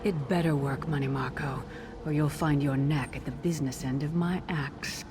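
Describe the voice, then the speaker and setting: A woman playing a gruff, threatening half-giant warrior in a fantasy game. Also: low voice, fairly even intonation, moderate pace, background noise, natural voice. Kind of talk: dramatic fantasy game voice acting.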